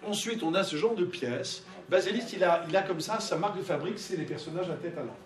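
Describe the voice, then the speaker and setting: Higher-voiced man lecturing in an echoey room. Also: fast. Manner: conversational, peppered with tag questions. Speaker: art lecturer leading a discussion. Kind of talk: interactive spoken lecture. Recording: echoey room, distant mic, audience murmur.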